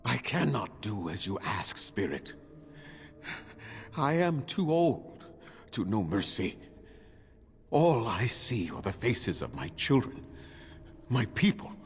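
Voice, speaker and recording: An ancient, vengeful elf, grave and weary. His creaky delivery is slow and halting, swelling and sinking widely in pitch. It is a fantasy game performance. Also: sing-song, exaggerated voice. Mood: defeated, anguished. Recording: long reverb, faint game ambience noise.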